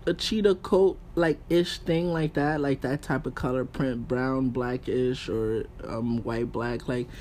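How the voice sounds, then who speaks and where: A man chatting casually and informally as a guest, using relaxed slang as he gives his opinions. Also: mid-range voice, unhurried, few pauses. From Haitian background. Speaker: podcast guest. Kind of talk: casual chat about fashion. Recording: clear background room noise.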